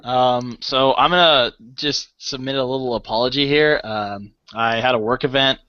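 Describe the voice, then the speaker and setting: Tired raspy guy in his 20s, bright tense voice, dry lo-fi mic. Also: medium pitch, normal speed, dry recording. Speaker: podcast guest. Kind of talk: casual chat. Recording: dry lo-fi podcast audio.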